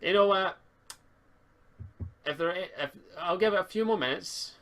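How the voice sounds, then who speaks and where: A man speaking casually as game master of a tabletop roleplaying game, halting and repeating words as he thinks. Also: high voice, fairly bright tone. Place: home online voice call stream.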